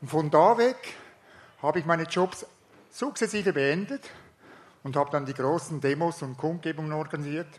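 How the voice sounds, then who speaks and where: A man's voice: fairly high pitch, normal speed. A man from Switzerland with a Swiss German accent, giving a rousing speech to a live audience and asking the crowd questions. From Switzerland, canton Schwyz. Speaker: activist group speaker.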